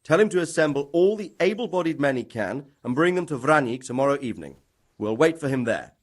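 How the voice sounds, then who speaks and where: A man acting a composed, commanding medieval lord in a video game, measured and formal. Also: on the high side, big pitch swings. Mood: decisive.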